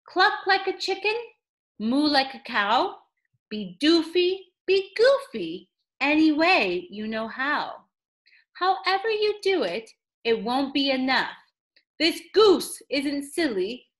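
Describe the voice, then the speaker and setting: A woman's voice: high voice, fairly bright tone. A woman reading a picture book aloud in a theatrical storytelling style, addressing a child listener with dramatic emphasis.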